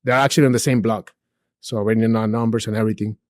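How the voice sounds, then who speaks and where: A man's voice: fairly low pitch, racing, no echo, clean studio sound. A man in his forties, raised in Peru, talking casually as a podcast guest, often saying "dude" and "bro". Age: 40s. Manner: relaxed and chatty, drops "dude" and "bro", many restarts. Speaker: guest, real estate investor. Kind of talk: casual interview talk.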